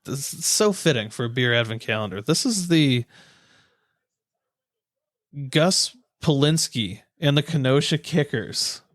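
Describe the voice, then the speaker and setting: A man's voice: mid-range voice, animated, unhurried, pauses often, a bit bright, no room sound, clean studio sound. An American man co-hosting a podcast in casual, slangy banter. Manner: informal, slangy. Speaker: podcast co-host. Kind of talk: casual banter between friends.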